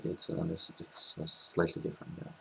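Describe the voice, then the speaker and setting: A man in his twenties with a French accent, chatting casually as a guest, hesitant with many pauses and restarts, recorded on a laptop webcam in a small room. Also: sits low, monotone, vocal fry, fairly bright tone, slight background noise. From France. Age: 20s. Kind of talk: casual interview chat.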